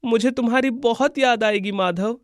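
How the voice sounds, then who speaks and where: A man's voice: extremely high pitch, normal speed, no room sound, clean studio sound, pitched above the performer's own voice. A man voicing an earnest, emotional schoolboy devoted to his friend, in a children's moral-story cartoon. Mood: pleading, sad. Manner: pleading, earnest.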